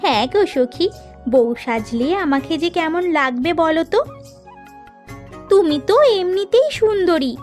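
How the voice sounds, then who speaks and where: A woman playing a playful, teasing young unmarried woman in a cartoon story. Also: high-pitched. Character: playful young unmarried women teasing, dreamy about marriage. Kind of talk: Bengali cartoon story dialogue.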